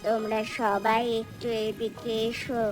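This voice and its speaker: A woman's voice: a little high, level tone, measured pace, close to an everyday voice. A woman voicing a protective, reproachful village woman in a cartoon story, speaking emphatically. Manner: emphatic, admonishing. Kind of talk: dramatic Bengali cartoon story dialogue. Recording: music under the voice.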